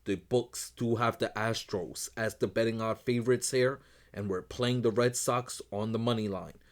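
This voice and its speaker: A man who is losing his voice, running quickly through his sports betting picks as host, in a brisk set routine. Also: fairly low pitch, steady tone. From Northeast United States. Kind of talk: rapid betting picks rundown.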